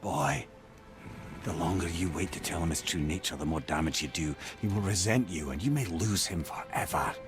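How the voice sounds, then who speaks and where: An actor playing a talkative, witty, cocky captive sage in a fantasy game, speaking like a theatrical storyteller. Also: male voice, low-pitched, moderate pace, music in the background, lightly acted. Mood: earnest.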